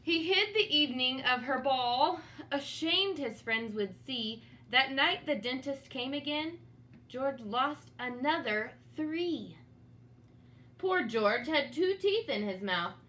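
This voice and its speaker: A woman's voice: sits high, lively intonation, slow, pushed and bright. A woman reading a storybook aloud for children in a dramatic, teacherly manner, with asides to young listeners. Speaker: storybook reader. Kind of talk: expressive read-aloud for children.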